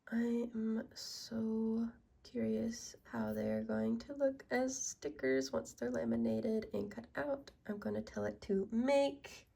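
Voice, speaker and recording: A woman narrating her small business vlog, stuffy and congested, walking through her work in a soft, unhurried way. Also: on the high side, creaky. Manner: slow, soft-spoken walkthrough.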